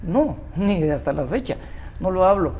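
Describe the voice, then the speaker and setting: Older man with a Mexican accent recalls his past in an unhurried, wandering way. His voice is dark and mellow, and faint ambient noise sits behind it. He often stops to correct himself mid-sentence. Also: high voice, big pitch swings. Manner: rambling, self-interrupting recollection. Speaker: interview guest, doctor. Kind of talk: conversational storytelling.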